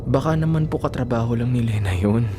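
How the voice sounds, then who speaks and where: A man's voice: medium pitch, a little fast, background noise, close to an everyday voice. An actor playing an earnest, defensive young working man with a Filipino accent in a radio drama. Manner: earnest, protesting.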